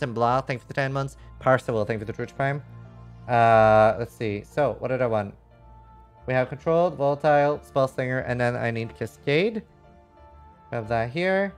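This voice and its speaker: A man's voice: medium pitch, clean tone. A man doing casual live game commentary, reading chat and thinking aloud in a frank, rambling way with some casual swearing. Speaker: livestreamer.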